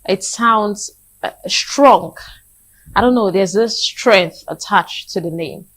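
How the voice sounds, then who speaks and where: A woman's voice: mid-range voice, slow, fairly bright tone. A woman with a Nigerian accent co-hosting a conversational television interview. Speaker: co-host, interviewer.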